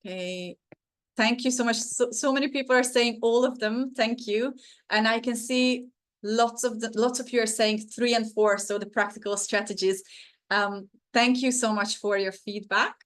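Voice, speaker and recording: A woman's voice: on the high side, steady tone, normal speed. A woman whose first language is Hungarian, presenting a teaching webinar over a video call in an earnest, encouraging way with frequent fillers.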